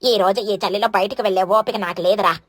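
A woman's voice: medium pitch, fairly even intonation, a little fast, dry recording, voice pushed down, lightly acted. A woman voicing a lazy, grumpy, scornful mother crow in a children's cartoon story, grumbling and scolding. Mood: irritated, lazy. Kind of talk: animated children's moral story.

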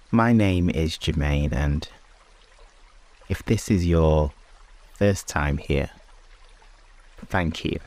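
Male meditation host, exceptionally deep voice, very slow and unhurried delivery, hushed phrasing with long pauses. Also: gravelly. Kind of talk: slow guided sleep meditation and prayer.

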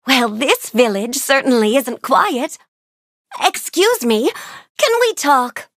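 A woman voicing a kind, bookish young fairy-tale princess in a video game, speaking politely and gently with wide-eyed wonder. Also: high voice, very animated, slow pace, dry recording, studio quality, exaggerated voice. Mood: wonder, admiring. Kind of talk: video game character voice clips.

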